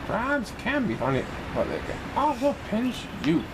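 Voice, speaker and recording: A man reading a children's picture book aloud in an expressive, sing-song way for young listeners. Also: high-pitched, normal speed, background noise. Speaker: storybook reader in a banana persona.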